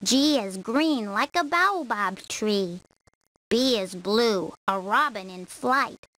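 Female reader for children's verse, high-pitched, unhurried and simple in delivery. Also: animated, fairly bright tone, low-quality recording. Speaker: storybook reader. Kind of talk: scripted children's verse reading.

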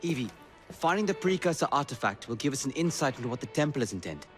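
A man's voice: medium pitch, very animated, quick pace, faint music behind, natural voice. An actor playing an earnest, courteous young male assassin mentor, speaking formally and carefully in a video game. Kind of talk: video game cutscene acting.

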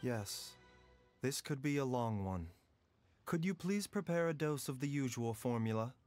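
A man voicing a calm, courteous doctor who speaks in a measured, formal way. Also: medium pitch, natural voice. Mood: composed. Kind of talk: video game voice acting. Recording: game audio with soft music.

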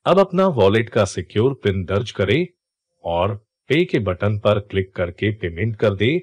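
A man's voice: on the low side, big pitch swings, a little slow, no echo. A man hosting a tutorial channel, walking viewers through each click step by step in a screen-recorded voice-over. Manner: instructive, explains each click.

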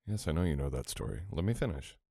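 Very deep, gravelly sweet boyfriend played by a man. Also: sing-song, quick pace, mellow, no echo, studio quality, heavily acted. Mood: affectionate teasing. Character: sweet, teasing, affectionate young boyfriend. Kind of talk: intimate ASMR boyfriend roleplay.

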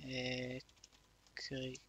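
A man slowly talking through a coding tutorial, thinking aloud with many fillers. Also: medium pitch, monotone, fairly bright tone, husky. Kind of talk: casual screen-recorded coding tutorial.

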